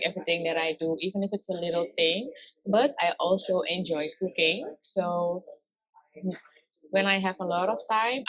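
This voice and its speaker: A woman's voice: lowish voice, no echo, rough recording. A woman in her twenties from Suriname with a Surinamese Dutch accent, chatting openly as a vlogger and filling her talk with "like". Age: 20s. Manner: chatty, open, often says "like". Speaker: vlogger co-host. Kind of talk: casual chat.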